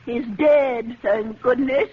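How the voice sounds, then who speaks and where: A woman's voice: fairly high pitch, slow. Elderly lady sleuth, muffled radio. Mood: composed. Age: older. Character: sensible elderly lady sleuth, retired physics teacher. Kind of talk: 1950s radio mystery acting. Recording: muffled, noisy vintage radio recording.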